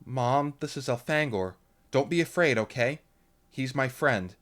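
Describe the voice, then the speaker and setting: A man narrating an audiobook voices a frightened teenage girl, pleading and yelling. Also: mid-range voice, animated, a little slow, pitched above the performer's own voice. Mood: worried.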